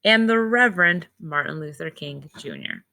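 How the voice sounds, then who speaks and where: Woman with creaky voice reading to children. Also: sits low, lively intonation, normal speed, sharp tone. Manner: simple, child-directed explaining with short phrases. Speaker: teacher reading a picture book aloud to children. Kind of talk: read-aloud storytelling with simple explanatory asides.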